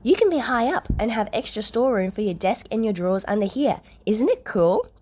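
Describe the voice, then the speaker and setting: A woman voicing a bright, eager teenage boy who babbles along in a story reading. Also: fairly high pitch, expressive, brisk. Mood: excited.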